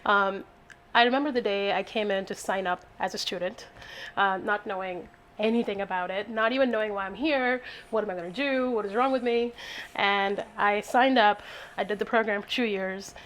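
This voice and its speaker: A woman in her thirties from India with an Indian English accent, a chef chatting warmly and enthusiastically while demonstrating a recipe, laughing often. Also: mid-range voice, normal speed. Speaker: chef and baker. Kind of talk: casual cooking demonstration chat.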